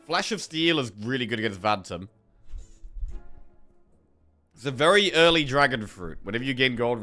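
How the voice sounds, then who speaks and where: A man's voice: mid-range voice, sharp tone. A man with a sore throat giving casual gameplay commentary as a streamer, thinking aloud through his choices. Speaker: game streamer.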